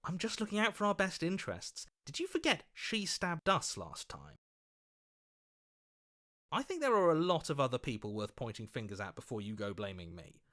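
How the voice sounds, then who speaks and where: A man voicing a scheming, flattering opportunist in a video game, with an oily, ingratiating and theatrical delivery. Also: on the high side, exaggerated voice. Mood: defensive.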